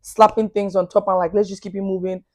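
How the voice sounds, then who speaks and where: A woman in her thirties with a Nigerian accent shares her story as a podcast guest. She speaks openly and with animation, in a warm mid-range voice. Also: medium pitch, fast talker. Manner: candid, animated, laughs often. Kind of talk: casual testimony.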